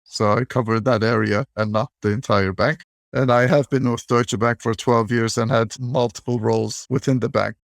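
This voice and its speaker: Gravelly middle-aged man from Turkey. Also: mid-range voice, fairly even intonation, no echo, studio quality. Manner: measured, explanatory storytelling. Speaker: guest, bank technology executive. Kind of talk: interview answers.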